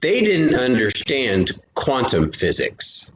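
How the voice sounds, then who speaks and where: A man's voice: medium pitch, huge pitch swings, takes it slowly. A man guest in an interview, explaining earnestly and often repeating words as he goes. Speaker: guest, co-creator of an audio drama podcast. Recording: roomy, dull, noisy amateur recording.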